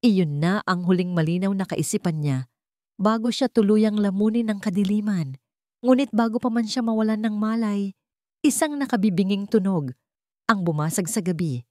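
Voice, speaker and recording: A woman's voice: mid-range voice, normal speed, dark tone, no room sound. A woman storyteller reading a scripted, dramatic story in a close voice-over.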